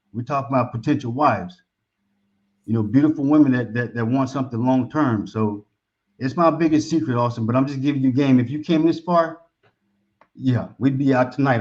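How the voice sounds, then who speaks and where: A man's voice: mid-range voice, a little mellow. A man from Texas with an American accent, talking casually as a guest in a slangy, familiar, talkative manner. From Texas, United States. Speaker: caller, expatriate guest. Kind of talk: casual conversation. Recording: dull lo-fi call audio.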